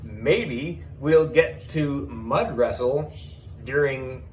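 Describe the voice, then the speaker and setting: A man narrating a children's audiobook in the character of a schoolboy, animated and deliberate, with dramatic pauses. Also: mid-range voice, a little hiss or hum, low-quality recording.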